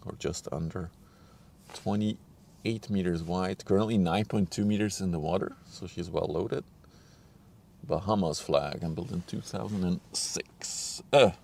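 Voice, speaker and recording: A man streaming live outdoors, chatting casually and muttering to himself while he sets up his gear, his voice a bit hoarse from a cold. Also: deep voice, measured pace, a little mellow. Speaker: ship-spotting livestreamer. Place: outdoors on a riverbank.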